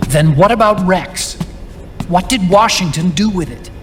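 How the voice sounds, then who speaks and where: A man's voice: high-pitched, lively intonation, measured pace, slight background noise. An actor playing an earnest, pensive male tech expert in a video game, speaking hesitantly. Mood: somber, pensive. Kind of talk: cinematic video game dialogue.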